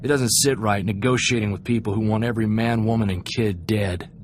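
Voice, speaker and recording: A man's voice: fairly low pitch, steady tone, a little fast, natural voice. An actor playing an earnest, idealistic young freighter crewman in a video game, talking in a folksy, chatty way. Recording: clear background ambient noise.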